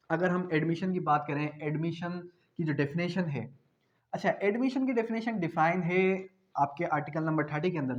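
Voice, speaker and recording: Male law lecturer, Pakistani accent, fairly high voice, didactic question-and-answer delivery, slightly muffled lo-fi recording. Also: darkish tone. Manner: didactic, asks questions and answers them himself. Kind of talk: law lecture explaining articles clause by clause. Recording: dull, lo-fi audio.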